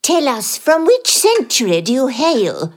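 Brief: high, fluttery Victorian gentlewoman, very lively pitch. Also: unhurried, heavily acted. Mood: fussy. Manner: proper, fluttery. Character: genteel, fussy Victorian ghost lady.

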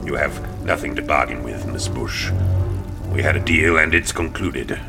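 Very deep, menacing business boss. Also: male voice, vocal fry, over music. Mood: threatening. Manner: unhurried, condescending, mock-polite. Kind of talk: audio drama acting.

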